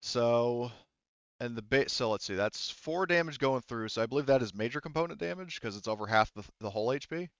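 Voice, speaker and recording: A man's voice: medium pitch, lively intonation, a little fast, a bit bright, dry recording, muffled recording. A man acting as game master in a tabletop roleplaying game, talking informally and thinking aloud with casual swearing. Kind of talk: running an online tabletop roleplaying game, rules talk.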